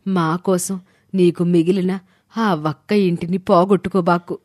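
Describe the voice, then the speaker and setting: A woman's voice: fairly low pitch, steady tone, unhurried, a little mellow, no room sound, studio quality, close to an everyday voice. A woman playing a worried, self-sacrificing older mother in a dramatised moral story. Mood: distressed.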